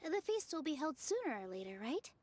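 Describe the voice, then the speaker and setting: A woman voicing a sweet, gracious young dancer in a video game, gentle and earnest. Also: high voice, very animated, a little fast, background noise.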